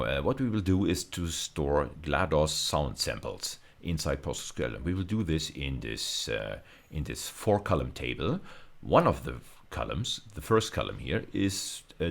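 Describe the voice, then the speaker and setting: A man's voice: very deep voice, creaky. A man giving an explanatory technical lecture as a university teacher, working step by step with hesitant repetitions.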